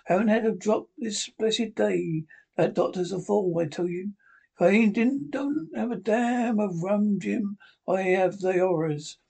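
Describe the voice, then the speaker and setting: Man doing a gravelly dying old sea captain. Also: high voice, darkish tone, pitched above the performer's own voice, exaggerated voice. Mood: pleading. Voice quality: gravelly, husky, feeble. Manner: pleading, wheedling, cursing, slow and drawn out. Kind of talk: audiobook character voice.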